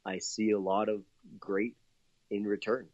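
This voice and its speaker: A cheerful, chatty man from Canada speaks as an interview guest. His voice sits a little low and sounds very dry, with no room around it. The line is slightly dull and lo-fi. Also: flat delivery, unhurried, a little mellow, dry recording. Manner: upbeat, chatty, laughs often, many fillers. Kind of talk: casual interview talk.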